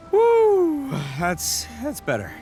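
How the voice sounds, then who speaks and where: A man's voice: sits high, a little mellow, full character voice. A man voicing a brash, boastful young oni gang leader with blustery, theatrical delivery in an anime video game. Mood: strained, recovering. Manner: bluffing, blustery, theatrical stammering.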